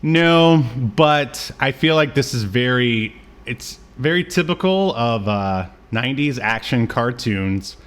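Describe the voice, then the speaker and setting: Guy on a podcast just chatting, rambly, kinda hesitant, chuckles, normal male pitch. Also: lively intonation, slow pace, fairly bright tone, clear, resonant tone. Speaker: podcast co-host. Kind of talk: casual chat about cartoons.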